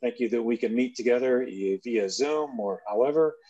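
A man's voice: medium pitch, moderate pace, warm tone, muffled recording, a little hiss or hum. A man who is the pastor, introducing the teacher and leading an opening prayer on a video call.

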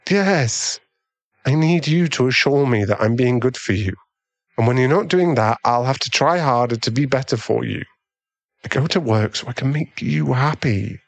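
A man playing a doting, easily swayed millionaire boyfriend in an ASMR roleplay, pleading and halting, with breathy sighs. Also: medium pitch, dry recording. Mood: anxious, pleading.

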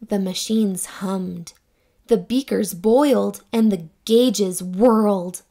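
A young woman reading a children's story aloud in a theatrical, expressive storytelling manner. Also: mid-range voice, slow, creaky. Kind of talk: expressive scripted read-aloud narration for children.

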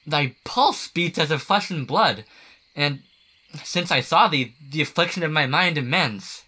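Man playing a slow, bewildered king. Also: a little high, animated, sharp tone, slightly rough, heavily acted. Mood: awed, contrite. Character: bewildered, remorseful king addressing a sorcerer. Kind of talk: Shakespearean radio play acting in verse.

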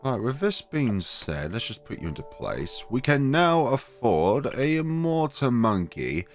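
A man's voice: mid-range voice, very animated, a little slow, faint music behind. A man with a cold narrating gameplay in a casual, wry way, talking to his game units as he plays. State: has a cold, voice deeper than usual. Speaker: game guide creator.

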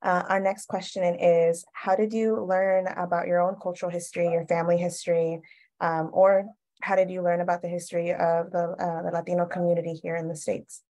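A woman's voice: fairly low pitch, little pitch movement, brisk, darkish tone. A woman moderating a panel on a video call, with a conversational, affirming manner.

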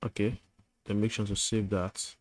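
A man's voice: lowish voice, level tone, normal speed. A man giving a screen-recorded coding tutorial, thinking aloud as he types, with fillers and self-corrections.

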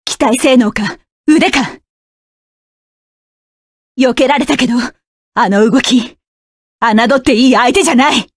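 A woman's voice: sits high, slow pace, long pauses, no room sound. A woman voicing a proud, fierce young woman mech pilot who barks curt, commanding and scornful lines. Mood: tense, wary. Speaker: voice actress. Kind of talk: game voice clip collection.

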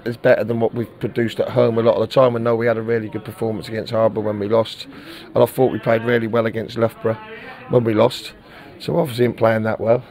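A man's voice: on the low side, flat delivery, fast talker, a little mellow. A middle-aged man, a football manager giving a frank post-match interview and often repeating words as he searches for them.

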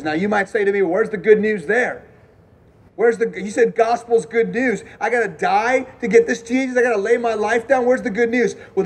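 A man in his thirties with a notably high voice preaches to a college crowd. He is animated and chatty, asking the room questions as he goes. He is on a dark stage in a large hall, wearing a lapel mic. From Houston, Texas. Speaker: campus preacher. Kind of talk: evangelistic sermon with testimony.

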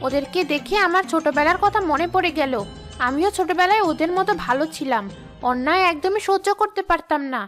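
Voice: very high-pitched, a little fast, a bit bright, soft background music. A performer voicing a stern, proud female ghost schoolteacher who lectures and scolds in a children's cartoon. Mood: nostalgic.

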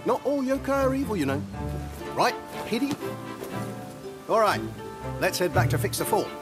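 A man's voice: high voice, very animated, normal speed. A male actor playing a boisterous, cheeky, good-natured samurai leader in a video game cutscene. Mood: jovial, confident. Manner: exuberant, chummy, theatrical. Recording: loud music behind the voice.

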